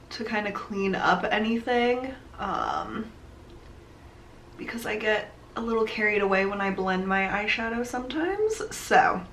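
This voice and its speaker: Slow-talking chatty young woman, a bit nasal. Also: medium pitch, a bit bright, a little hiss or hum. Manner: chatty, rambling with many "like" and "um" fillers. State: nasal from allergies. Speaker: beauty vlogger. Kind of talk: casual makeup tutorial talk.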